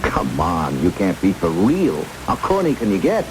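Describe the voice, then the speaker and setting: A man's voice: deep voice, wildly swooping pitch, moderate pace. A man playing a guarded, stubborn government courier in a vintage radio drama, with a dry, skeptical manner, on an old broadcast. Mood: defiant, dry. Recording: old radio broadcast under heavy rain noise.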